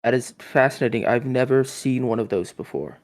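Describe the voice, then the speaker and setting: A man playing an earnest, socially awkward gentleman in a tabletop game, stiff and sincere in tone. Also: mid-range voice, brisk, close to an everyday voice. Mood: earnest, apologetic. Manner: flat-toned, sincere, stiff.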